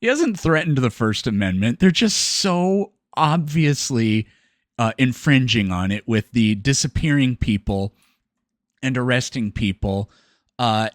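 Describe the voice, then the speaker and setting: A man giving casual, off-the-cuff reaction commentary, halting and often stammering as he corrects himself. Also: medium pitch, dry recording, clean studio sound. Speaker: commentator reacting to a debate video.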